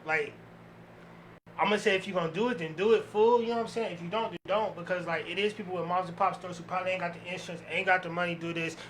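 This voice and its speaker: Fast-talking high-voiced man debating casually. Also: steady tone, fairly bright tone, poor audio. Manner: slangy, insistent, swearing. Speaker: podcast panelist. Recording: faint background noise.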